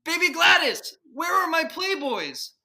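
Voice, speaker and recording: A woman as an exasperated, wildly animated radio sitcom dad. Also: mid-range voice, normal speed. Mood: exasperated, demanding. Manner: whiny, grumbling, blustering. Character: exasperated put-upon 1940s sitcom father. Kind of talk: 1940s radio sitcom parody acting.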